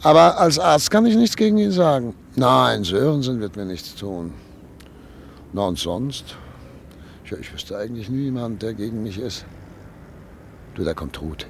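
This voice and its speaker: An actor playing a 39-year-old professor and chief physician in a period radio drama, speaking in a wistful, reflective, quietly anxious way. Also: male voice, mid-range voice, animated, unhurried, creaky, long pauses, slight background noise. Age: 30s. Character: 39-year-old doctor, proud yet uneasy.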